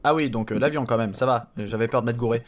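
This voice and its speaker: A man performing an irritated minion inspector in a French comedy audio serial. His voice is mid-pitched and everyday. He stumbles through questions, incredulous and casual. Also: fast, lightly acted. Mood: hesitant, irritated. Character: baffled, irritated henchman inspector questioning odd clones.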